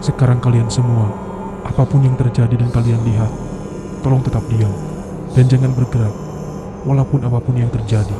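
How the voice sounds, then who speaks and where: A man playing a calm, commanding mountain tracker who gives firm, cautioning instructions in an animated horror story. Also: mid-range voice, a little fast, pauses often, over loud music, lightly acted. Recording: noisy background ambience.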